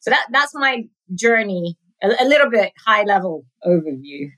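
A woman's voice: mid-range voice, big pitch swings, normal speed, a bit bright, poor audio. A woman in her forties, from Sudan with a British accent, chatting as a podcast guest and laughing often. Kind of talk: conversational interview.

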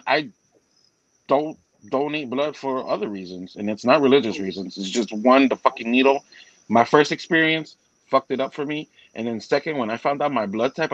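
A man talking earnestly in a casual group chat, pausing and restarting often. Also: medium pitch, fairly bright tone, dry recording, lo-fi sound. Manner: halting, many restarts, earnest. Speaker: guest.

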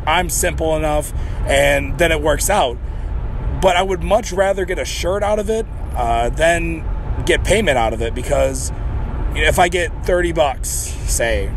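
A hoarse young man with a cold, hosting a wrestling vlog in a loose, joking ramble full of fillers and restarts. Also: on the high side, a little hiss or hum. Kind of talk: casual rambling monologue.

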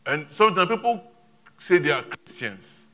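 A male preacher with a bright, forceful voice delivers a sermon in short emphatic bursts. He repeats his points and leaves pauses for an interpreter. The sound comes from a church service. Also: high-pitched, big pitch swings.

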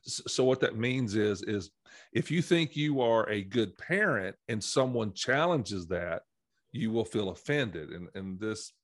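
Guy hosting a church podcast, bit hoarse, low voice, dry close sound, kinda rambly. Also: no echo. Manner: rambling, many fillers and restarts. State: slightly hoarse from allergies. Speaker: podcast host. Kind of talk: casual teaching conversation.

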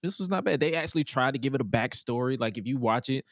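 A man's voice: mid-range voice, lively intonation, fast, dry recording, clean studio sound. A man in his twenties hosting a podcast in a loose casual chat, profane and repeating himself. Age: 20s. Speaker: podcast host.

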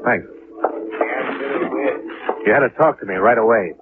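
A man's voice: on the low side, unhurried, natural voice. Male actor for cool investigator, terse and matter-of-fact, 1950s radio style, thin noisy sound. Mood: cool, businesslike. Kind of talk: 1950s radio drama acting. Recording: noisy, narrow-band old 1950s radio recording.

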